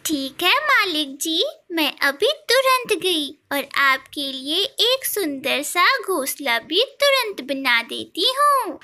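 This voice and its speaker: Cartoon sparrow, female, played by a woman: very high and bright, sweet, gushing, eager to serve. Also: sing-song, takes it slowly, hardly any pauses, full character voice. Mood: eager, obedient. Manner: gushing, deferential. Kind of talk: Hindi cartoon story voice acting.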